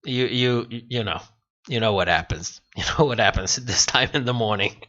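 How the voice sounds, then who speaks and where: A man's voice: medium pitch, moderate pace, sharp tone, dull audio. A man hosting a casual livestream, answering viewer comments in a rambling way and repeating phrases as he thinks aloud. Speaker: livestream host.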